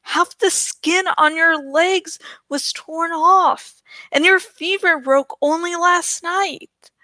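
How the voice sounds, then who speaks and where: A woman reader puts on a plump village healer from a storybook. She is motherly and firm, kind yet quick to scold. The words come very slowly, in a bright, fairly high voice with a close, dry sound. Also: no echo, full character voice. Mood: scolding, reassuring. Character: rotund older village healer woman, brisk, kindly and scolding. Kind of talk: audiobook storybook reading.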